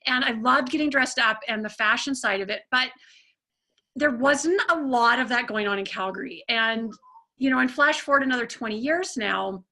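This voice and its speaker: A woman's voice: on the high side, moderate pace, bright, forceful tone, poor audio. A woman in her forties, from Canada, hosting an interview in a chatty, casual way, full of "like" and "you know". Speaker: interview host.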